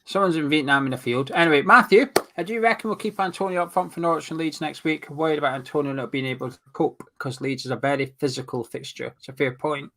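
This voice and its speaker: A man's voice: fairly high pitch, fast, hardly any pauses, pushed and bright. Man reading viewer comments aloud. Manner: reads out comments, teasing banter. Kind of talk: casual live watch-along chat.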